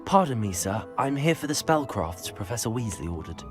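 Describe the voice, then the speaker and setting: A man's voice: medium pitch, big pitch swings, fairly quick, faint music behind, close to an everyday voice. An actor playing a polite, deferential young male student. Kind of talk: video game cutscene.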